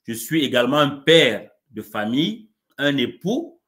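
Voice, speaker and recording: A man, a coach being interviewed live over a video call, speaking in a warm, measured way with frequent restarts. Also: mid-range voice, big pitch swings. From Cameroon. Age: 40s. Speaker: coach and guest.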